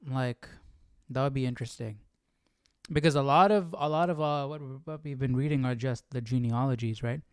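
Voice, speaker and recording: A man's voice: mid-range voice, moderate pace, darkish tone, clean tone, no room sound, studio quality. A man hosting a livestream, chatting casually and thinking aloud in a rambling way. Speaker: livestream host of a Bible study series.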